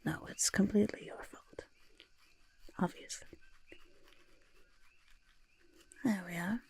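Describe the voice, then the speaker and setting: A woman voicing a boastful, evasive goblin potion-maker in soft-spoken, breathy ASMR roleplay, fussing over the listener and hesitantly backpedalling. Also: mid-range voice, moderate pace, natural voice. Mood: smug, evasive. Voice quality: breathy, husky near-whisper. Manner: refers to self in third person, hesitant backpedalling.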